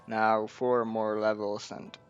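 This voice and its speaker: A man's voice: fairly low pitch, little pitch movement, takes it slowly, muffled recording. A man giving casual gameplay commentary, hesitantly thinking aloud. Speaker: gamer recording gameplay. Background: soft game music.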